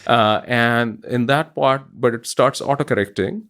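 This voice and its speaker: A middle-aged man with an Indian accent, a guest explaining his technical work in a measured way with many pauses. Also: medium pitch, fairly bright tone. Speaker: guest scientist.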